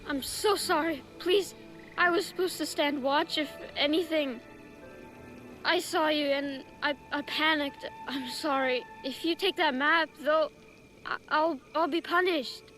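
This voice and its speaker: An actress voicing a frightened, earnest child recruit in a video game, speaking haltingly and anxiously. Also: female voice, high voice, a bit bright, soft background music, rough recording. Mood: frightened, pleading. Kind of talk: video game cutscene acting.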